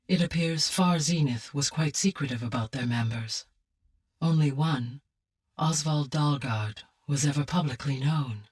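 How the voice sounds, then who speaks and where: An actress playing a calm, benevolent female artificial intelligence in a video game, speaking in formal, measured, precise phrases. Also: very deep voice, breathy edge, far from the mic, full character voice. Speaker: game character, advisor. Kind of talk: scripted video game dialogue.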